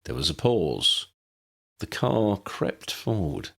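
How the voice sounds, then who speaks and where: A man's voice: lowish voice, sing-song, slow, creaky, a bit bright, slightly rough. A man reading a scripted story aloud as an audiobook narrator.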